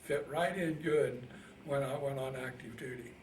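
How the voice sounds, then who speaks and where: Man around eighty, Midwestern American accent, halting folksy recollection, interviewed veteran, echoey room, faint background noise. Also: mid-range voice. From Indiana, United States. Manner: halting, folksy plain speech. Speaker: Navy veteran being interviewed. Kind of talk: casual oral history recollection. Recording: roomy, distant mic, faint noise.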